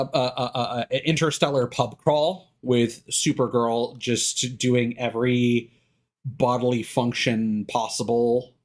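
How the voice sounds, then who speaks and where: Man, mid-pitched voice, casual streamer, halting delivery, opinionated rambling. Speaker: live streamer.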